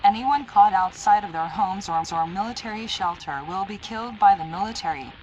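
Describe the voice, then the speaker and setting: A synthetic female voice playing a stern, impersonal emergency alert announcer, reading formal, deliberate warnings in an analog horror broadcast. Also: medium pitch, lively intonation, a little mellow, a little hiss or hum, close to an everyday voice, poor audio. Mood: grave, commanding.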